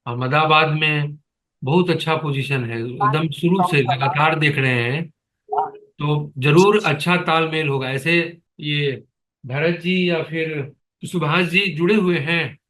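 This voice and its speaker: A man's voice: fairly high pitch, takes it slowly, bright, forceful tone, low-quality recording. A man chairing a staff conference call in an authoritative, admonishing, questioning manner. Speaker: meeting chair, foundation leader.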